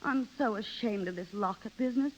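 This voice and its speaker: An actress plays a vain wife with a very high voice. She is coquettish, high-strung and theatrical, in the emotional style of 1930s radio drama. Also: brisk, dull audio, lightly acted. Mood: fretful, pleading. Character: vain, flirtatious, high-strung middle-class American wife. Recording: 1930s radio broadcast recording.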